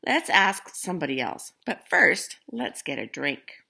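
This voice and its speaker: A woman acts out a protesting storybook cow, female and thoroughly exasperated. Her indignant lines are bright and swoop with emphasis. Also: on the low side, sing-song, a little slow, gravelly, slightly rough, full character voice. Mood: weary, firm.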